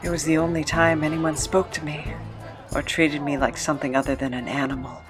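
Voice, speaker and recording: An actor playing a weary, haunted former captive in an audio drama, recounting a cruel past in a halting, measured way. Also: mid-range voice, flat delivery, music in the background, natural voice. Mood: wistful, pained.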